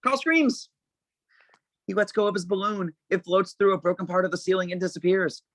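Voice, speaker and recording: A narrator reads stage directions for a script table read. The delivery is plain and descriptive, in a mid-pitched voice with a very dry, close sound. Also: moderate pace, a bit bright, dry recording.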